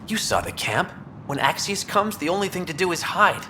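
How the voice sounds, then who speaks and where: A man's voice: high-pitched, very animated, normal speed, bright, forceful tone, a little hiss or hum, heavily acted. A man playing a nervous, talkative scavenger outlaw in a video game, edgy and colloquial, eager to placate. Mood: uneasy.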